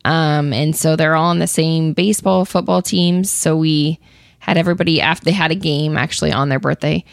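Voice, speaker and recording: A woman co-hosting a casual podcast, with a low, gravelly voice made froggy by allergies. She talks in an easygoing way, often with short agreeing replies. The sound is dry and close to the mic. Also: fairly even intonation, a little fast, no room sound. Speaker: podcast co-host. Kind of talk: casual chat. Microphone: podcast mic in a small room.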